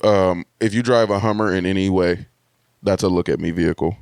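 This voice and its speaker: A man's voice: fairly even intonation, fairly quick, vocal fry, a little mellow, dry recording, studio quality. A man co-hosting a podcast, chatting casually and laid-back about everyday topics. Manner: laid-back, says others call his voice deep, hears it as mid. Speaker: podcast co-host. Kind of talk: casual chat.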